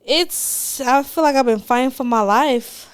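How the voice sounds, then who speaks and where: A woman's voice: high voice, unhurried, clear, resonant tone. A woman co-hosting a podcast in casual chat, teasing and joking with frequent laughs. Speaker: podcast co-host.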